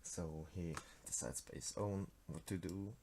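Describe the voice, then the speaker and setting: Deep-voiced Swiss teen with a German accent. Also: male voice, a little slow, gravelly, dark tone, a little hiss or hum. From Switzerland. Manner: hesitant, many fillers and restarts, self-deprecating. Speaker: game developer. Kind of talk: casual screen-recorded devlog.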